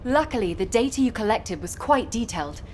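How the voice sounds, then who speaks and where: A woman voicing an earnest, methodical young military data analyst in a video game, giving a measured explanatory briefing. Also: on the high side, expressive, darkish tone, a little hiss or hum, close to an everyday voice.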